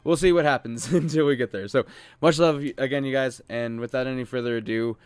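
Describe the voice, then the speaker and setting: A man's voice: medium pitch, steady tone, a little fast, smooth, no echo. A man hosting a livestream, talking informally and a bit ramblingly while thanking his viewers. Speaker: live streamer, host. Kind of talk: casual livestream chat intro.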